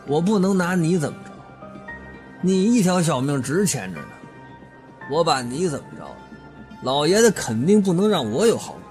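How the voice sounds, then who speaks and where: A menacing, foul-mouthed man with a grudge as a male voice actor plays him in an audio drama. His pitch is fairly high and swings in a lively way as he sneers and taunts, with music underneath. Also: normal speed, pauses often, natural voice. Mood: bitter, menacing. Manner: sneering, crude swearing, taunting. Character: menacing, vulgar, disfigured older brother with a grudge. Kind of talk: Chinese audio drama acting. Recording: music behind the voice.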